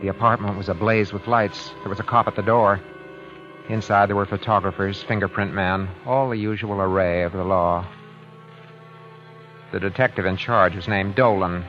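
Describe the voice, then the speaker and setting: Over a muffled vintage radio recording with music behind, an actor plays a calculating man accused of murder. His voice is low and deliberate, turning cagey and halting when pressed, in classic radio suspense style. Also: fairly even intonation, close to an everyday voice. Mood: grimly matter-of-fact. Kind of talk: 1940s-50s radio suspense acting, first-person narration.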